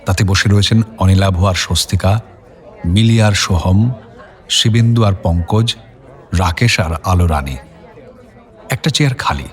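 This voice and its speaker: A man's voice: low-pitched, measured pace, pauses often. A man narrating a scripted suspense story in a dramatic storytelling style.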